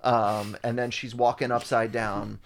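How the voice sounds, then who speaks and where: A man's voice: mid-range voice, little pitch movement, unhurried, vocal fry. A man in casual chat, sarcastically recapping a movie's plot with frequent swearing.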